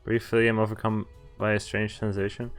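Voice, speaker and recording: A man's voice: on the low side, completely flat delivery, a little fast. A man streaming a game, reading visual novel text aloud and reacting casually. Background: game music.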